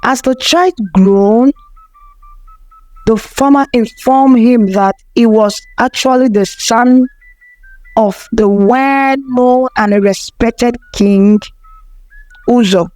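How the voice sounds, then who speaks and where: A woman tells a folktale as a scripted narration, taking it very slowly. Her voice sits fairly high. The recording is very dry and close, with no room sound. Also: mellow, dry recording, rough recording. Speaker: storyteller.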